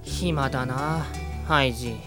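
A woman's voice: very deep voice, slow pace, over music. A woman voicing a wry, long-suffering boyish sidekick in a Japanese comedy audio drama, blunt and teasing. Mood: dry, resigned.

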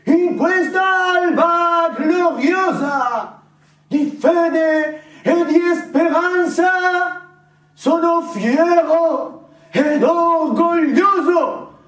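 A man with a Sicilian accent declaiming a theatrical monologue loudly and emphatically, as a solo stage storyteller. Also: very high voice, extremely slow. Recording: roomy echoing stage, distant microphone.

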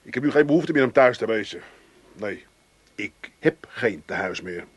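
An actor playing a proud, offended grown son with an Amsterdam accent in a comedy radio play, curt and pompously wounded. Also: male voice, fairly low pitch, expressive, moderate pace, breathy edge, dry recording. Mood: indignant. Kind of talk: 1980s Dutch comedy radio play acting.

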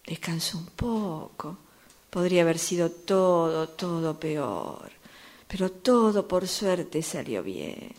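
A woman's voice: lowish voice, a little mellow. A woman playing a reflective, daydreaming commuter in a radio drama monologue, musing theatrically with sighs. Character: reflective woman waiting for a train, daydreaming about strangers.

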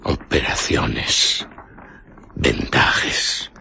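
A man's voice: rumbling low, very slow, creaky, bright, forceful tone, a little hiss or hum, heavily acted. An actor playing a brusque, secretive male guest at an inn in a radio drama, curt and grumbling. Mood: brooding, irritated.

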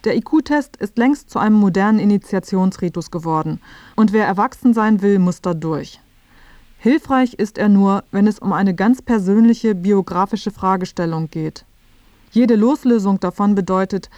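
Female narrator, mid pitch, scripted feature delivery, very dry close studio sound. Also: moderate pace, a little mellow, no room sound, highs cut off.

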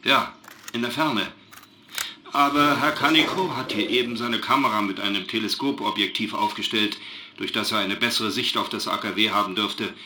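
An actor playing a shaken but factual German engineer, giving an earnest, precise, halting eyewitness account in a radio drama news interview. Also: male voice, fairly low pitch, bright, forceful tone. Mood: anxious, controlled. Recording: noisy live news link.

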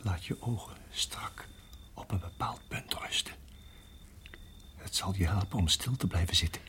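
A man acting in a radio play as a protective husband, speaking in a halting, urgent hush. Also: deep voice. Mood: tense, hushed. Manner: hushed, halting, urgent whispering. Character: tense, protective husband guiding his endangered wife.

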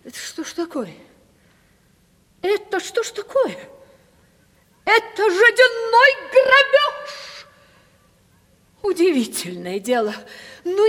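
A woman's voice: very high-pitched, full character voice. An actress in a theatrical radio play, playing a vain, easily flustered aging lady landowner who is plaintive and theatrically indignant. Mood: tearful lament. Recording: reverberant 1970s radio play studio.

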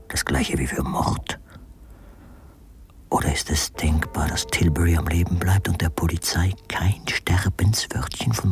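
An actor playing a brooding, evasive writer with a terse, guarded manner in a German radio crime drama. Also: male voice, extremely low pitch, exaggerated voice. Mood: brooding whisper. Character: brooding male writer, evasive husband of a dead woman.

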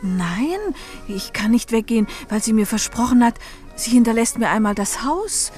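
An actress playing a timid, yearning young companion in a radio play, speaking earnestly and meekly. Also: female voice, a little high, animated, moderate pace, a little mellow, faint music behind, lightly acted. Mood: anxious. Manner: earnest, meek, wistful. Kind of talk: German radio play acting.

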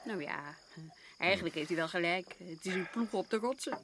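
An actress playing a colonial official's reproachful, exasperated wife in a radio play, chiding and articulate. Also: female voice, fairly low pitch, moderate pace, a bit bright, slight background noise. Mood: dry, frank.

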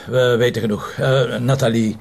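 A man's voice: medium pitch, fairly even intonation, normal speed, gravelly. A man with a Flemish accent playing a jovial, food-loving, teasing police commissioner in a TV drama. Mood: questioning.

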